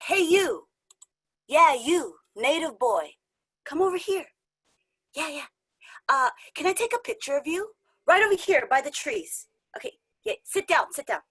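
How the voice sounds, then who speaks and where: Pushy photo-snapping tourist for stage drama female actor, very high voice, condescending. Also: a bit bright. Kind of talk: staged reading dialogue.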